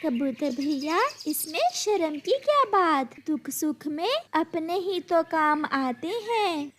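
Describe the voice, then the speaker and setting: A woman voicing a kind, generous little female sparrow in a cartoon, gentle and reassuring. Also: sits high, expressive, slow. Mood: warm.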